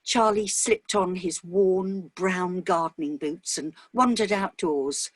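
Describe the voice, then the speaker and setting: A woman's voice: on the low side, takes it slowly, dry recording. A woman reading a dramatic short story as scripted narration.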